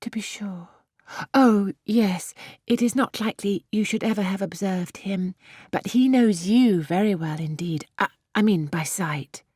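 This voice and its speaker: A woman's voice: medium pitch, measured pace, darkish tone, breathy edge, no echo, studio quality. A woman voicing an eager, naive, easily flustered young English girl in an audiobook of a classic novel, chattering in a breathless, hesitant way. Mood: eager to agree.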